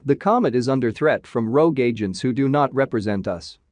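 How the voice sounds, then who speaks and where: A man's voice: medium pitch, animated, brisk, darkish tone, no room sound, close to an everyday voice. A man playing a pragmatic, wry human space pilot in a video game, with a casual, dry and direct delivery. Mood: earnest.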